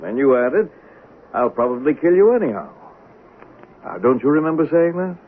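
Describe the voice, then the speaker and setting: An actor in a 1970s radio drama playing a formal, measured, persistent police sergeant. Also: male voice, a little high, expressive, natural voice. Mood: probing. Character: probing, persistent male police sergeant interrogating suspects. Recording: tinny narrow-band 1970s radio recording, noisy.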